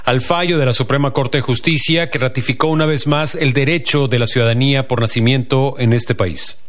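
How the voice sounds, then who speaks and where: A man hosting a call-in radio program, reading the intro and then interviewing a guest in a measured announcer's manner. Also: medium pitch, steady tone. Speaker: radio show host.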